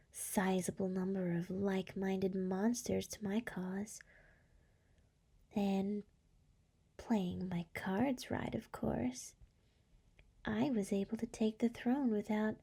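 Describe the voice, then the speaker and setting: A seductive female demon lord played by a woman speaks in slow, breathy whispers for an ASMR roleplay. Her soft, dark voice has a creaky edge and a teasing, scheming purr, very close to the mic. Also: medium pitch, exaggerated voice. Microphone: close microphone.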